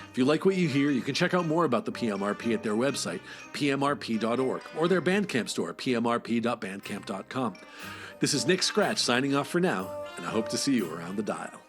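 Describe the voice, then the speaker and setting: A man hosting, delivering a scripted radio-style sign-off. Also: mid-range voice. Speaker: host.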